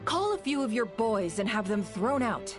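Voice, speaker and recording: A woman's voice: a little high, sing-song, moderate pace, over music. An actress playing a haughty, witty, imperious lady in an anime game, speaking formally with theatrical scorn. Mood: scornful.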